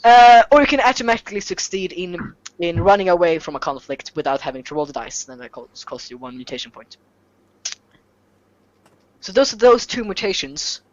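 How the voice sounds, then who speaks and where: A native Swedish speaker running a tabletop game as game master, explaining rules off the cuff in a halting, self-deprecating, jokey way. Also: medium pitch, expressive, no echo, highs cut off.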